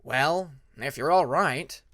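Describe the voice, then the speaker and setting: A young dragon prince acted by a man talks bluntly yet with care, in a slightly high male voice. He is a storybook classmate, captured close and dry. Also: takes it slowly, a bit bright, dry recording. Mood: blunt concern. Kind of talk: fan fiction audiobook character voice.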